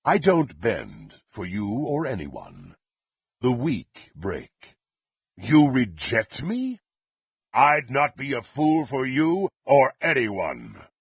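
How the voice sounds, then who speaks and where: An actor playing a power-hungry, contemptuous dark warrior in a fantasy video game, with a gravelly, husky voice and haughty, theatrical, archaic phrasing. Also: male voice, medium pitch, wildly swooping pitch, slow pace, dry recording, exaggerated voice. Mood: intense, imperious.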